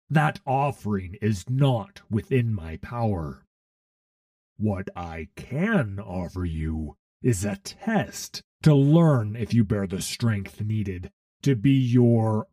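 Cyborg warlord preacher, low dark voice, grandiose and solemn, takes his time, audio drama style. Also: sing-song, takes it slowly, dry recording, clean studio sound, heavily acted. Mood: solemn, challenging. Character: commanding, zealous half-machine warlord preaching to followers. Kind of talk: dramatic audio story acting.

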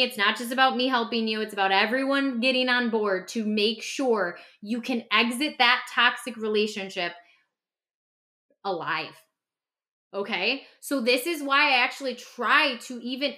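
A woman's voice: a little high, bright, forceful tone. A woman speaking as a podcast host and clinician in candid conversation, passionate and emphatic, repeating phrases for stress.

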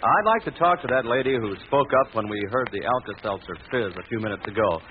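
An American man announcing a 1940s radio commercial as a sponsor's pitchman, on a tinny old broadcast. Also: expressive, fast, a little hiss or hum.

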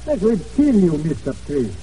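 Earnest islander, muffled old radio. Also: male voice, on the high side, very animated, dark tone, far from the mic. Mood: pleading. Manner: earnest, halting, simple broken English. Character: devoted, worried islander husband and father, pleading. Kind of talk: 1940s radio drama acting.

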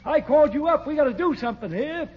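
An actor playing a cranky old superintendent who scolds and exclaims in 1940s radio comedy style. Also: male voice, very high voice, expressive, fast talker. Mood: cranky, grumbling. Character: cranky old waterworks superintendent, a grumbling woman-hater. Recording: dull old radio recording.

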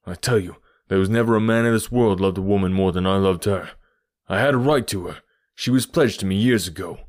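A proud villain from a detective storybook, acted by a male narrator, with a fairly low voice. He speaks with bitter, forceful defiance and a wounded lover's intensity. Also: a little fast, close to an everyday voice. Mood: grim defiance. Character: fierce, proud, lovelorn American male criminal.

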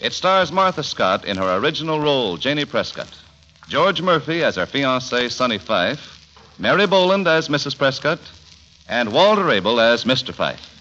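Old time radio announcer guy, 1940s scripted intro, lively delivery, dull sound w music. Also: medium pitch, moderate pace, slight background noise. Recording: muffled 1940s radio broadcast, music behind.

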